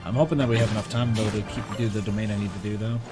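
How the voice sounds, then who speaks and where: A man's voice: lowish voice, steady tone, very fast. A man doing casual live game commentary as a streamer, slangy and rambling, often talking to himself. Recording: game music behind the voice. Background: game music.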